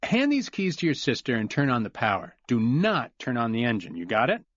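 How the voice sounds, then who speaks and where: A man's voice: medium pitch, huge pitch swings, fairly quick, no echo, lo-fi sound. A man voicing a blunt, defiant father with a wry, snarling edge, in an audiobook.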